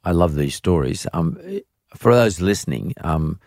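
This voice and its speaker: A middle-aged man hosting an interview podcast, speaking in a measured, deliberate way with restarts and fillers. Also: low-pitched, lively intonation, gravelly, darkish tone, no echo, studio quality. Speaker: podcast host. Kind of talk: interview chat with scripted intro.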